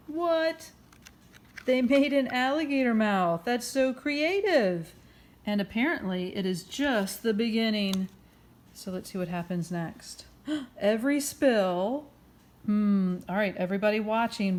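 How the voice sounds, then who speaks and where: A woman with a mid-pitched voice reads a picture book to children. Her delivery is expressive and encouraging, moving between reading aloud and chatty questions to the listeners. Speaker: children's story time host and reader.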